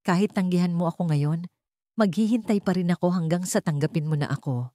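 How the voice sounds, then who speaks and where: A woman's voice: lowish voice, a little fast, warm tone, dry recording, studio quality, lightly acted. A woman voicing an earnest, tender young male suitor pleading his love in a romance audio story. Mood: devoted.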